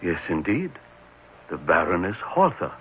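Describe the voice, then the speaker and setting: A man's voice: low voice, sing-song, a little slow. A man playing an assured, inquiring sanitarium doctor in a 1970s radio drama. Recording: dull, slightly noisy 1970s radio recording.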